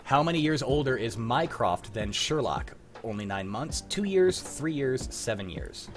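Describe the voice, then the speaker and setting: Lively young man hosting casual trivia. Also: medium pitch, a little slow, faint music behind. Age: young adult. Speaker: quiz host. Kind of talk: casual chat and trivia hosting over music. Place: online group livestream call.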